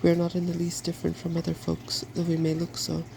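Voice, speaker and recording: A man voicing a cheerful, wise, weary dragon in a soft-spoken storybook reading, polite and measured. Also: fairly high pitch, monotone, creaky, a little hiss or hum.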